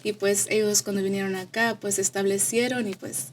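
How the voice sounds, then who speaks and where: A woman in her twenties from Austin, Texas, giving casual personal testimony as a guest, halting and slipping in English words. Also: medium pitch, flat delivery, a little hiss or hum. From Austin, Texas, of Mexican parents. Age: 20s. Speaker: podcast guest.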